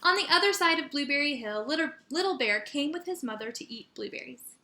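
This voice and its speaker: A woman teacher reading a children's story aloud in an expressive, animated way with dramatic emphasis. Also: sits high, brisk. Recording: roomy echo.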